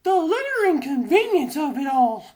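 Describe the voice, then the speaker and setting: A haughty female cat sorceress from a cartoon, as a man puts her on, pitched very high. Her delivery is affected and theatrical, every phrase grandly put. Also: big pitch swings, moderate pace, a little mellow. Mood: disdainful. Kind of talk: comic dub voice acting.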